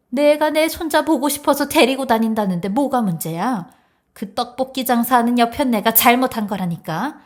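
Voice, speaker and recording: A woman playing a brazen, indignant older mother-in-law in a dramatized story, arguing with haughty scorn. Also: sits high. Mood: defiant grumbling. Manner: scornful, argumentative.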